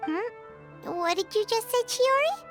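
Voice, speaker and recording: A woman voicing a tiny, excitable childlike female companion creature in an anime video game, squeaky and chirpy. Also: slow, full character voice. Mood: surprised. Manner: chirpy, speaks of self in third person. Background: music.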